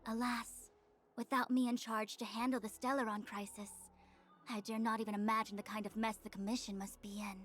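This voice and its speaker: A woman voicing a proud, exacting female diviner in a video game, speaking with imperious, formal, measured diction. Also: on the high side, flat delivery, fairly bright tone, lightly acted. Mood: grave, self-important.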